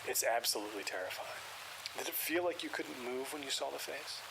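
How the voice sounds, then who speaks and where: A man playing a measured paranormal investigator. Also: mid-range voice, vocal fry, close to an everyday voice. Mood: calm. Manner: measured, clinical, explanatory. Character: calm skeptical male paranormal investigator. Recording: loud rain noise and hiss.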